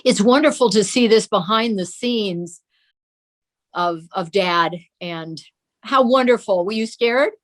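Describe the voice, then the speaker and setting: A woman's voice: mid-range voice, takes it slowly. An older woman chatting casually as a guest, responding with admiring exclamations. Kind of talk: casual chat.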